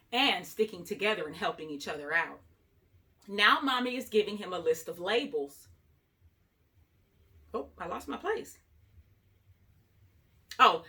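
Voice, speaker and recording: Woman storyteller, children's book narration, animated delivery, bright tone, swinging intonation. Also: on the low side. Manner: animated, acting out dialogue. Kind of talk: expressive read-aloud narration. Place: small room at home, indoors.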